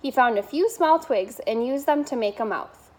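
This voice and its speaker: A woman's voice: on the high side, lively intonation, normal speed, a little mellow. A woman reading a children's bedtime story aloud as a member of school staff.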